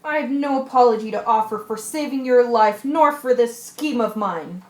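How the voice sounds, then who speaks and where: Woman playing a high-voiced, commanding ship's mate. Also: normal speed, close to an everyday voice. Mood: firm, earnest. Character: resolute male ship's mate, commanding schemer. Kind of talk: amateur radio drama acting. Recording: echoey room.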